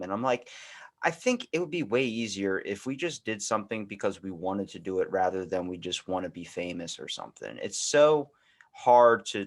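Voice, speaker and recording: An American man hosting a podcast, talking in a casual, rambling conversational way. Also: lowish voice. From United States. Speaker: podcast host.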